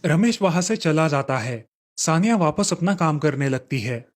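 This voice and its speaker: A man's voice: a little high, expressive, normal speed. A man narrating a scripted children's story.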